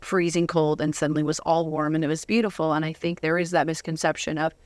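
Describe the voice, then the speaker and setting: A middle-aged woman hosting a casual interview in a playful, conversational way, quick to react. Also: low voice, little pitch movement, a little mellow, no echo. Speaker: show host.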